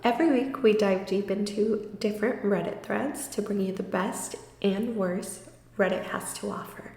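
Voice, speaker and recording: A woman's voice: mid-range voice, fairly even intonation, normal speed, darkish tone. A woman delivering a scripted introduction as the announcer of an online show. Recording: echoey, reverberant intro voice-over.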